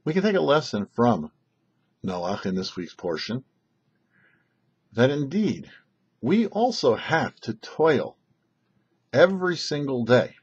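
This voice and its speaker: An older man, a rabbi hosting a short religious teaching, speaking in deliberate phrases with emphatic stresses. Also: medium pitch, sing-song, slightly rough, lo-fi sound. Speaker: rabbi, show host. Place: small indoor set, seated before backdrop.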